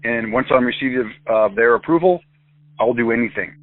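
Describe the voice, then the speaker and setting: A man working as a private investigator speaks haltingly in a recorded phone interview, often stopping and restarting his sentences. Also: mid-range voice. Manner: halting, with many false starts and restarts. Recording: tinny, dry phone line.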